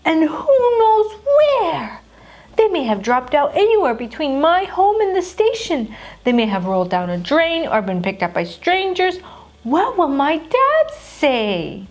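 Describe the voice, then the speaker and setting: A woman's voice: high-pitched, huge pitch swings, unhurried, voice pushed up, full character voice. A woman voicing an anxious, earnest schoolboy in a bedtime story, plaintive and trusting. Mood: despairing.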